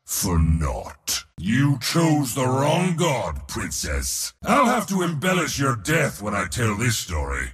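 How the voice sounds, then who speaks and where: A haughty god of war for a video game, his voice very rough and gravelly, ringing with long reverb. He is pompous and mocking, swinging wildly between huge battle bellows and low sneers. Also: wildly swooping pitch, slow pace, few pauses, fairly bright tone, exaggerated voice. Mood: scornful, gloating. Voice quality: raspy, gravelly, powerful. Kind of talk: video game hero voice lines.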